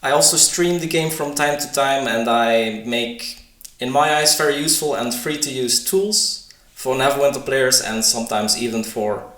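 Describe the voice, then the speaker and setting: A soft-spoken man moderating a livestream panel, his mid-pitched voice carrying a roomy echo. He talks hesitantly, with pauses and fillers between his points. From Germany. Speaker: game guide creator, panel moderator.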